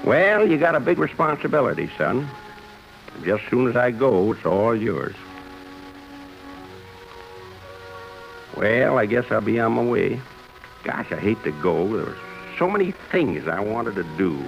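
A low, gravelly old man, gentle and reflective, bidding the world farewell as the old year. He talks in a folksy, fatherly way with a lively rise and fall. It sounds like a dull 1950s radio broadcast with faint music underneath. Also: moderate pace. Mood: wistful farewell. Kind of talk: 1950s radio drama acting.

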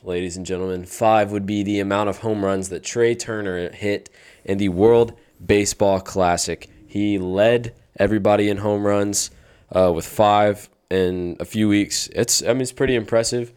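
A man's voice: deep voice, a little mellow, clean tone. A young man co-hosting a casual sports podcast, talking with hype and enthusiasm. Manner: enthusiastic, hypes up segments. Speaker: podcast co-host.

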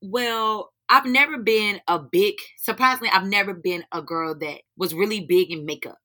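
Young North Carolina woman, raspy, slightly hoarse, bright tone, relaxed candid interview guest. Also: medium pitch, moderate pace. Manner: candid, informal, slangy. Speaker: guest, teacher and business owner. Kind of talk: casual interview answers.

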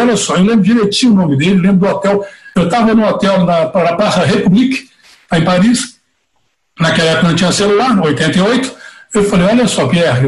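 This is a Brazilian man of middle age or older, with a notably high-pitched voice. He talks casually in a Brazilian Portuguese accent, like a seasoned producer handing down stories and advice with emphasis. The sound is clearly roomy and echoey. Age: middle-aged or older. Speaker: interview guest, veteran concert producer. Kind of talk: casual anecdotal storytelling.

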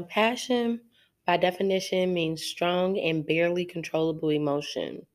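Low-voiced woman podcaster with a cold. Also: vocal fry, darkish tone. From Arizona. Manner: chatty, laughs often, addresses listeners directly. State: congested from a cold, nasal. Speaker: podcast host. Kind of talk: casual solo podcast monologue.

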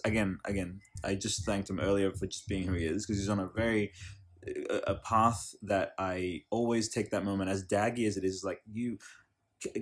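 Rambling, enthusiastic podcast host. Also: male voice, on the low side. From Australia. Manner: rambling, enthusiastic, many restarts and tangents. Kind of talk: casual interview chat.

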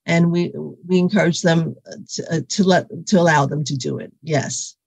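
Halting woman physical therapist, dry sound. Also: deep voice, gravelly, a little mellow, slightly rough, no room sound. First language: Cantonese. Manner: halting, many fillers and restarts. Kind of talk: interview answers.

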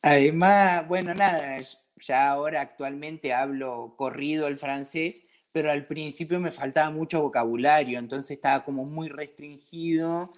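A man's voice: fairly high pitch, moderate pace, hardly any pauses. A man from Patagonia with an Argentine accent, chatting casually and colloquially as he tells stories and acts out bits of dialogue. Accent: Argentine Spanish. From Patagonia, southern Argentina. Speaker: interviewee, craft brewery owner. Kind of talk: casual conversational storytelling.

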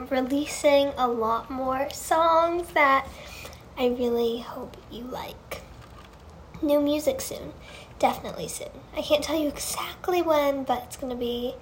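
A woman with a high, girlish voice chatting casually on a livestream, answering fan questions in a gushing, earnest way and often repeating herself. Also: a little hiss or hum.

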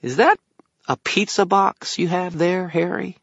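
A man voicing an excitable, impatient tiny male fairy for an audiobook, shrill and exclamatory. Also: measured pace, no echo, muffled recording. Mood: eager, excited. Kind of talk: audiobook character acting.

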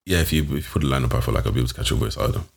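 A man's voice: a bass voice, very fast, gravelly. A man co-hosting a podcast in casual chat, with choppy speech that jumps from point to point. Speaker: podcast co-host.

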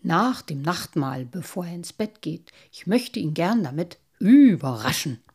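Storybook water-sprite dad, played by a woman: measured, appreciative, kindly, unhurried, lower register. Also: expressive, a little mellow, slightly rough, full character voice. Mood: fond. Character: water-sprite father, proud, measured, kindly grown man. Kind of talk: storybook character voice.

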